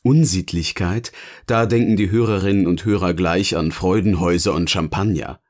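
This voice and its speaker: Ein Mann spielt in einem Hörspiel einen eloquenten, sardonischen Erzähler, der bedächtig und theatralisch philosophiert. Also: male voice, sits low, moderate pace, darkish tone, close to an everyday voice. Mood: wry, musing. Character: eloquent, sardonic male narrator finally free to speak. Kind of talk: scripted audio drama dialogue, philosophical musing.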